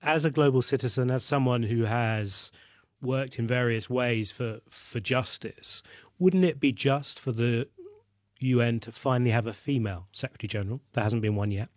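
Male interviewer asking a pointed question, dry close sound, mid pitch. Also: normal speed, dry recording.

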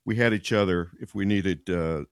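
A man's voice: deep voice, normal speed, clean tone, no echo. A man guest in an interview, making dry jokes about his marriage. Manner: dry joking. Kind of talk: casual chat.

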